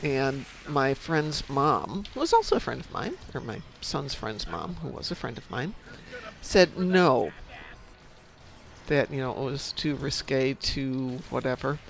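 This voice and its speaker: Lively, wry middle-aged man. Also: medium pitch, a little slow, creaky, rough recording. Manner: lively, wry jokes, rambling anecdotes. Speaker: stream host. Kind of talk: casual group chat while gaming.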